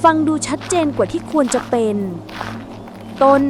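A woman's voice: moderate pace, music in the background. A woman reading a scripted suspense bedtime story as a storyteller.

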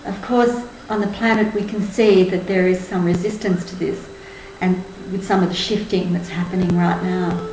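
A woman in her sixties giving a measured, earnest spiritual talk to camera. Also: lowish voice, flat delivery, darkish tone. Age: 60s. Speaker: spiritual group tour leader and presenter. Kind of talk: spoken presentation to camera. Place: indoors, small room with cloth backdrop. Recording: roomy echo, faint background noise.

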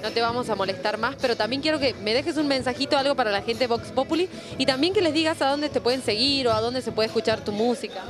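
A woman's voice: fairly high pitch, fast, few pauses. Chatty Argentine female host. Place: bar with live music. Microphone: handheld mic. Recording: loud live music behind.

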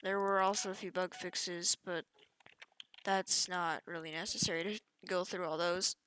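A woman's voice: on the low side, steady tone, moderate pace, a bit bright, slight background noise. A young woman casually talking through a game update over screen capture, chatty and offhand, often trailing off mid-sentence. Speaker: gaming youtuber. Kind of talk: casual gameplay walkthrough.

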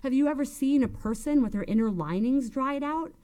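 A woman's voice: mid-range voice, animated, moderate pace, darkish tone, close to an everyday voice. A woman narrator plays a domineering, bitter woman in an audiobook. She scolds and harangues with emphatic force. Her questions come as accusations, leaving little room for reply. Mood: insistent, haranguing. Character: bitter, hectoring, domineering older woman berating Melba by phone.

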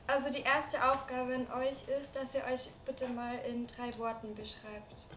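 A woman's voice: on the high side, level tone, unhurried, a bit bright, far from the mic, faint music behind. Giggly young woman in a roomy studio. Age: 20s. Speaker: dating show host. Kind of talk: casual chat. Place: studio with curtains.

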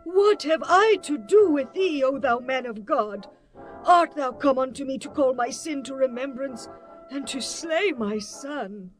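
A woman's voice: high voice, lively intonation, a little slow, soft background music. An actress playing a poor, despairing widowed mother in a dramatized Bible, with plaintive, archaic phrasing. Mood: bitter grief.

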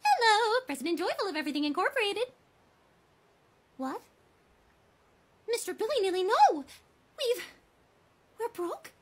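A woman voicing an excitable, bossy little girl puppet who plays at being company president, mock-pompous and theatrical. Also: very high voice, measured pace, long pauses, full character voice. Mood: dramatic panic.

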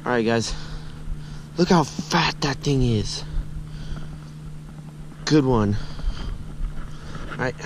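Young man, American accent, mid-pitched, informal fishing vlogger, clear outdoor background noise. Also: very slow, long pauses. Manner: informal, addressing viewers as guys. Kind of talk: casual outdoor vlog commentary. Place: outdoors beside a grassy pond. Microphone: body-worn action camera. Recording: noisy outdoor action-camera audio. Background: clear outdoor ambient noise.

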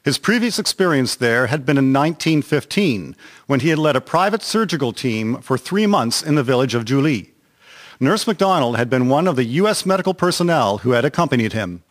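A man narrating a historical radio drama from a script in a measured, formal reading. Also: mid-range voice, animated.

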